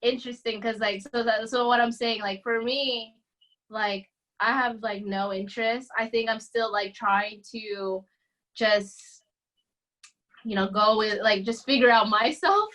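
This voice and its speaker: A woman hosts a relaxed podcast conversation, talking in a loose, hesitant way full of fillers and restarts. Her voice sits a little higher than most women's. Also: measured pace. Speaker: podcast host. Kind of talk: casual chat.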